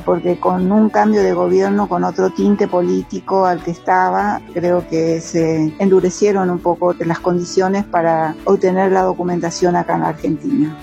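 An older woman from Paraguay, a lawyer living abroad, telling her own migration story in a measured, unhurried way. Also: fairly low pitch, gravelly, dark tone, dull audio. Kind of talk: recorded testimony.

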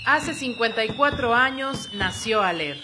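A woman radio presenter giving scripted narration in a clear, formal, declamatory manner. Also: a little high, lively intonation, unhurried, very noisy.

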